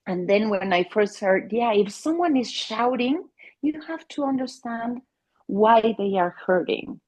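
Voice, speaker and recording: Woman, middle-aged, Mexican accent, Spanish native, therapist in interview, earnest, restarts often, husky voice, higher pitch. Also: expressive, measured pace, a little mellow. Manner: earnest, many restarts and fillers. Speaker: family therapist. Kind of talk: interview conversation.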